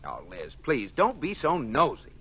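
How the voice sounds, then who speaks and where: An actor playing an exasperated, scolding but fond young husband in old-time radio comedy. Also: male voice, medium pitch, huge pitch swings, measured pace, creaky, bright, forceful tone, breathy edge, heavily acted. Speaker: co-star in a radio sitcom. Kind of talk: 1940s radio comedy acting. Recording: dull old radio recording.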